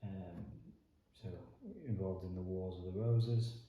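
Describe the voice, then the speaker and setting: A man with a strong Yorkshire accent from Leeds, chatting in a relaxed, meandering way while doing a jigsaw puzzle at his dining table. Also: sits low, unhurried, highs cut off, a little hiss or hum. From northern England. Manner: meandering, thinking aloud, many ums. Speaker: jigsaw video host. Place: indoor dining room at a wooden table. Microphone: camera facing the table, speaker off frame. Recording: very echoey room.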